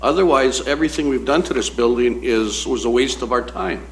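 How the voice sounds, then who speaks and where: A council member speaks plainly and rambles, filling his talk with "you know." He has a middle-pitched voice. A faint background hum sits under him. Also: brisk, vocal fry, poor audio. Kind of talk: casual meeting discussion. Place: council chamber.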